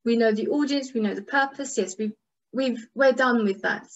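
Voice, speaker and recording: A woman whose first language is Turkish, lecturing in English at a workshop, pausing on fillers and asking herself rhetorical questions. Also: on the high side, highs cut off. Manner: measured teaching, frequent fillers and rhetorical questions. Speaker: academic English instructor.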